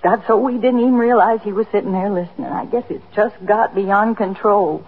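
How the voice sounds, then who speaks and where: An actress playing a worried, remorseful middle-aged housewife and daughter in a 1950s radio drama, speaking earnestly and hesitantly. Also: female voice, medium pitch, brisk, husky. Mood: regretful, worried. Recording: dull, noisy 1950s radio recording.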